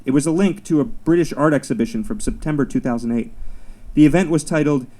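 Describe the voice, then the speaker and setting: A man reading his own personal essay at a live literary reading, in a measured, deliberate, wry storytelling way. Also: fairly high pitch, warm tone.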